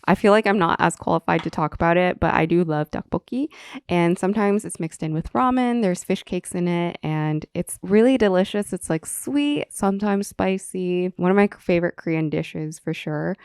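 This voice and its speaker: A woman's voice: on the low side, normal speed, a little mellow, clear, resonant tone, dry recording. A young woman co-hosting a podcast in casual chat, whose first language was Chinese, talking in a chatty way with many fillers and frequent giggles. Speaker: podcast co-host.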